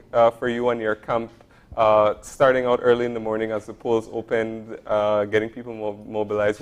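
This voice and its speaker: A man hosting a morning TV show, interviewing a guest in a friendly way with many fillers. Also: mid-range voice. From Belize.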